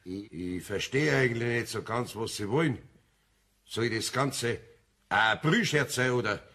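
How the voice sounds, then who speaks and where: An actor playing a reserved, puzzled master wood turner in a children's radio play, guarded and halting, politely sceptical. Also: male voice, mid-range voice, bright, forceful tone. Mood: suspicious.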